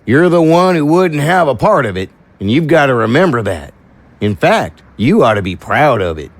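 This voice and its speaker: An actor playing a steady, reassuring small-town sheriff with folksy turns of phrase in an audio drama. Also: male voice, mid-range voice, normal speed. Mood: gently reassuring.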